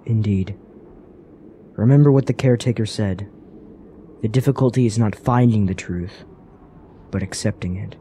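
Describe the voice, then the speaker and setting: Cautious male traveler, fantasy audio drama, acted by a man. Soft-edged tone, formal, measured phrasing, some background noise. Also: fairly low pitch, natural voice. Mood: grave, reflective. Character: cautious, earnest male traveler wary of dark woods. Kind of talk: fantasy radio drama acting.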